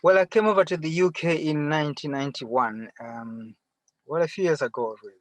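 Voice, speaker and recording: A middle-aged man with a Zimbabwean accent answering interview questions conversationally from inside a car, often pausing and rephrasing. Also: a little high, dry recording. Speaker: interview guest, farmer. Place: sitting in a parked car.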